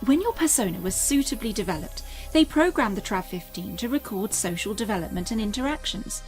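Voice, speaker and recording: A woman playing an earnest, secretive alien mother, speaking gravely and deliberately in an audio drama. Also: mid-range voice, expressive, faint music behind, lightly acted. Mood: urgent warning.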